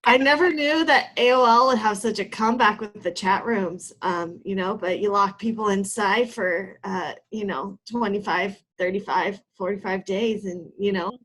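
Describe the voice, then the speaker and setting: A woman's voice: mid-range voice, moderate pace, hardly any pauses, a bit bright, natural voice. Lady doing a self-important wannabe influencer, late 30s, rambly. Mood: pretentious. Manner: rambling, pretentious, many fillers. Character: 38-year-old woman aspiring influencer, self-important. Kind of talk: improv comedy sketch.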